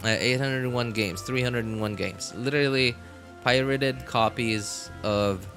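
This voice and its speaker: A man's voice: mid-range voice, a little slow, over music. A man chatting casually as a game streamer, rambling and thinking aloud to his viewers. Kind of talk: casual rambling livestream chat.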